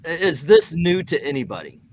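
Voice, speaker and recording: A man from the United States hosting a casual comic book read-along stream, rambling and joking in a halting way. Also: on the high side, very animated. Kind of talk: casual rambling livestream chat.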